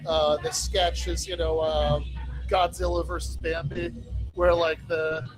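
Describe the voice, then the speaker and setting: A man chatting casually on a podcast, halting often and circling back over his own phrases. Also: fairly high pitch, level tone, poor audio. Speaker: podcast host. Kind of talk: casual chat. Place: bar. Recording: loud music behind the voice. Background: loud music.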